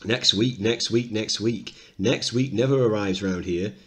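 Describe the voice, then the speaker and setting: A man's voice: fairly low pitch, unhurried, close to an everyday voice. A man playing an irate, hard-nosed milkman, rude and impatient, in a play reading. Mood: irate, dismissive.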